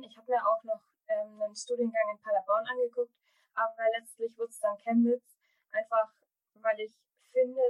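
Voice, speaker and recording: A woman in her twenties from Upper Franconia, a student speaking in a brisk, matter-of-fact way. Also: fairly high pitch, low-quality recording. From Upper Franconia, Germany. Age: 20s. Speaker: student guest. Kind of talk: casual interview talk.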